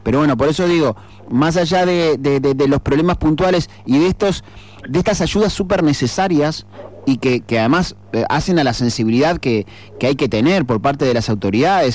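A man's voice: medium pitch, expressive, brisk, a little mellow. A man hosting a radio talk show, speaking earnestly and reflectively with frequent fillers and restarts. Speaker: radio host. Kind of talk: radio commentary.

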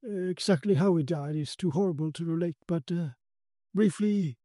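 Man acting a high-pitched, commanding occult investigator. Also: normal speed, warm tone, no echo, clean studio sound, close to an everyday voice. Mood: grave, explaining. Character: commanding male occult investigator, urgent, learned and grave. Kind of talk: dramatised audiobook character.

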